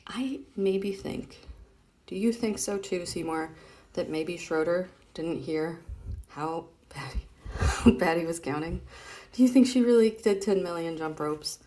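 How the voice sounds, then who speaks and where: A woman's voice: lowish voice, measured pace, mellow. A woman hosting a casual read-aloud of comic strips, chatting playfully and expressively as she explains things directly to a child.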